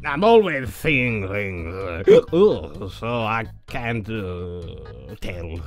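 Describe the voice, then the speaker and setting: A man playing a confused, woozy casino drunkard in a cartoon comic dub, halting and slurred. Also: fairly low pitch, big pitch swings, full character voice. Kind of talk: comic dub character acting.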